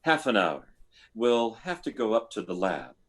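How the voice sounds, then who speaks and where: An actor playing a hesitant, probing army psychiatrist in an old-time radio science fiction drama, stammering and deliberate. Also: male voice, on the low side, big pitch swings, a bit bright. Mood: hesitant, clinical.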